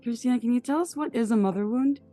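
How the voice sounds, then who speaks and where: A woman's voice: a little high, fast talker, mellow, soft background music. A woman hosting an interview podcast, reading introductions and asking questions. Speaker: podcast host.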